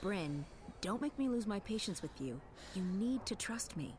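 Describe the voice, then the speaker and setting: A woman's voice: mid-range voice, expressive, normal speed, darkish tone, a little hiss or hum, close to an everyday voice. A woman playing a jaded young resistance leader in a video game, curt, guarded and commanding. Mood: stern. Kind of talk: video game cutscene acting. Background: background music.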